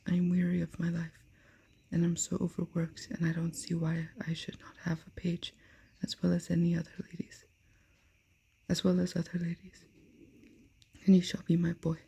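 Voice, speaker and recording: A woman voicing a bossy giantess, the wife of a man-eating giant, in a breathy whisper. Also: lowish voice, monotone, moderate pace, mellow, exaggerated voice. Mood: scolding.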